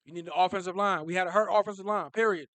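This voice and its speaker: A young man ranting about his team, high-pitched and bright, animated and emphatic, often repeating a phrase to drive it home. He speaks close to the mic, and the sound is dry. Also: racing, no room sound. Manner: animated, repetitive, laughing, emphatic. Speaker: sports fan vlogger. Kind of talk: casual fan reaction rant.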